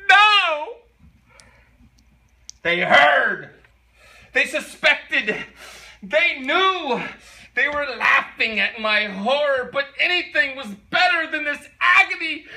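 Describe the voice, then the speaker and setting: A man's voice: very high-pitched, pushed and bright, full character voice. A man playing a guilty, nervous murderer coming undone, in a theatrical dramatic reading of a gothic horror story. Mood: frenzied panic. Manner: theatrical, deliberate, intensely emotional. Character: guilty, nervous murderer unraveling into frenzied madness. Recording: noisy, distant microphone.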